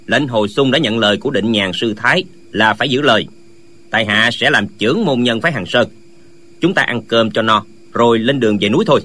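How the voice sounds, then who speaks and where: A man playing a young swordsman and new sect leader in a martial arts audiobook, wry and dutiful, speaking in a measured, courteous way. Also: medium pitch, bright, forceful tone. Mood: resolute, reassuring.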